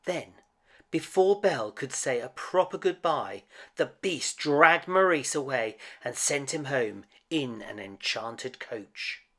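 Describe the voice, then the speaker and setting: A man reading a picture book aloud to children as an expressive, dramatic storyteller. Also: medium pitch, takes it slowly. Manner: dramatic, with emphatic swells and hushed drops.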